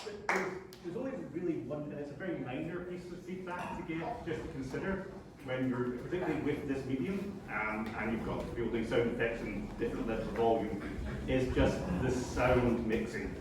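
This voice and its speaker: Man, casual feedback, medium pitch, distant mic, clear background noise. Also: a little fast, very echoey. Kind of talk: casual spoken feedback to students. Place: roomy indoor school space, recorded from far off.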